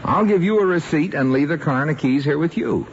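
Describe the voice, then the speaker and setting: A man with an American accent playing a smooth-talking, folksy used-car seller in a vintage film. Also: on the high side, animated, brisk. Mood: persuasive. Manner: persuasive, folksy. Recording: muffled vintage film soundtrack, faint noise.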